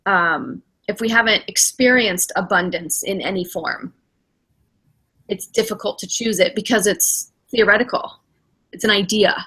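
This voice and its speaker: A woman's voice: mid-range voice, measured pace, sharp tone, slightly rough, poor audio. A middle-aged woman, a spiritual director hosting a casual podcast chat, reflective and acting out her own inner thoughts as she talks.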